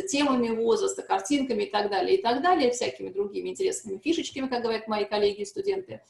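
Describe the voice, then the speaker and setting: A woman's voice: a little high, gravelly, darkish tone. A woman giving an academic seminar presentation, explaining at length with frequent hesitations and restarts. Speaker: seminar speaker on language testing. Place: conference hall. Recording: echoey room.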